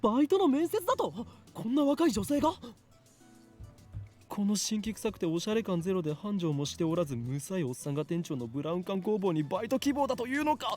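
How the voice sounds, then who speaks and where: A pompous, sardonic young man from a Japanese visual novel. He rattles off theatrical lines at great speed in a fairly high male voice, with faint music underneath. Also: very animated, racing, a little mellow. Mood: mock disbelief. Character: cocky, theatrical young man mocking a shop.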